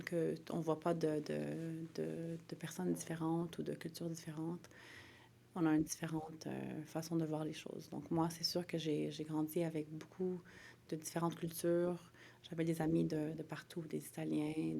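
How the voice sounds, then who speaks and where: A woman with a very low voice and a clear vocal fry gives conversational interview answers. She has a Quebec French accent and feels her way through sentences, repeating and rephrasing. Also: dark tone. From Montreal, Quebec, Canada. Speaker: interview guest, lawyer.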